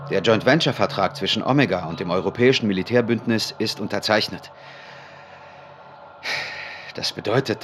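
An earnest middle-aged male scientist with a lowish voice, played by an actor in an audio drama. He dictates a log in a formal, measured way over faint background ambience. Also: a bit bright, a little hiss or hum, close to an everyday voice.

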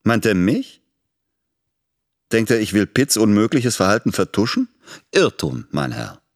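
A man's voice: fairly low pitch, huge pitch swings, unhurried, exaggerated voice. An actor playing an aggrieved, calculating middle-aged politician and father in a radio drama, formal and rhetorical. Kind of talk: radio drama inner monologue.

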